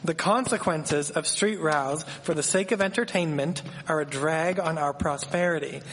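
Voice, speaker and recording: A man's voice: fairly high pitch, moderate pace, a bit bright. A man playing an earnest, civic-minded Victorian newspaperman, declaiming in a live radio drama. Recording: echoey hall, noisy with clicks.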